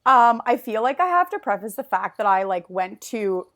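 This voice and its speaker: A woman's voice: medium pitch, sing-song, moderate pace, a bit bright. A woman in her thirties whose first language is French, talking casually as a podcast guest in a rambling, bubbly way full of "like". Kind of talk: casual interview chat.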